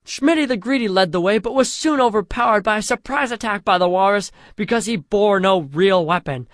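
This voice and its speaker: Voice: medium pitch, a little fast, fairly bright tone, no room sound, clean studio sound. A storyteller reading a scripted comic fantasy parody in a mock-epic way, drawing out the chapter headings.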